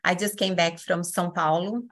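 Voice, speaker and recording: A woman from Brazil with a Brazilian accent, hosting an online session as facilitator in a friendly, unhurried way with many fillers. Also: on the low side, steady tone, a bit bright.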